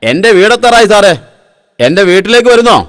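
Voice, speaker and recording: A man's voice: high-pitched, lively intonation, fairly quick, a bit bright, in a large hall. A man voicing a wily, falsely polite hitchhiking car thief in a comic cartoon, coaxing and ingratiating. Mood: sly, coaxing.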